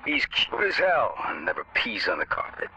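A man's voice: on the low side, wildly swooping pitch, moderate pace, bright, forceful tone, lo-fi sound, a little hiss or hum, full character voice. An actor playing a fatherly older survivor leader in a video game, blunt, teasing and caring. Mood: teasing, warm. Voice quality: gravelly. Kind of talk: video game cutscene acting.

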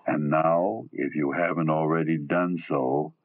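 A man announcing as host of a radio horror show, with an ominous, theatrical, drawn-out delivery. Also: rumbling low, steady tone. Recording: dull, narrow old radio recording.

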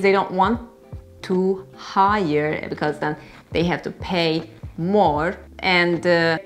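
A woman's voice: fairly low pitch, a bit bright. A young woman from Turkey with a Turkish accent, explaining things casually and directly to viewers in a conversational vlog style. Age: young adult. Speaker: vlogger and engineer. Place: living room, indoors.

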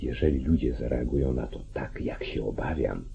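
An actor playing a calculating, commanding judge and politician in an old radio play, speaking deliberately. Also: male voice, extremely low pitch, creaky, mellow, soft background music. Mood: scheming, commanding. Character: calculating, domineering small-town judge, older male politician. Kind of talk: mid-century Polish radio play acting. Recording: muffled, narrow-band 1940s radio recording.

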